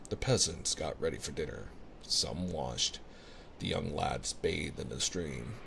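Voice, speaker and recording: Male ASMR storyteller, rock-bottom pitch, unhurried scripted reading, ocean sounds clearly audible behind him. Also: background noise. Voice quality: very deep, gravelly. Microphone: binaural ASMR microphone, close.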